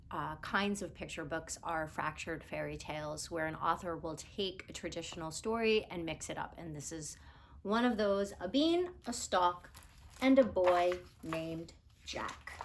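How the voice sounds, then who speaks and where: A woman reading a picture book aloud to children in an expressive, theatrical way with deliberate phrasing. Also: fairly low pitch. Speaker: storybook reader.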